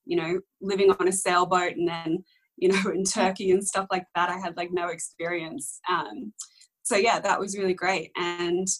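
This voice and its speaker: Candid low-voiced young woman. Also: flat delivery, moderate pace, rough recording. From Australia. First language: Korean. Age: young adult. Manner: rambling, candid, many ums and self-corrections. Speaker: interview guest. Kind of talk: casual conversational storytelling.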